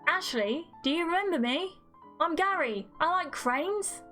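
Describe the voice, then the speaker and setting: Woman playing an earnest, literal-minded young man, high-pitched. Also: animated, moderate pace, fairly bright tone, soft background music. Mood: earnest confusion.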